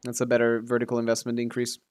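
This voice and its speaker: A man's voice: medium pitch, monotone, fast talker, a little mellow, smooth. A man giving casual, offhand live stream commentary on a game, thinking aloud as he answers chat and swearing casually.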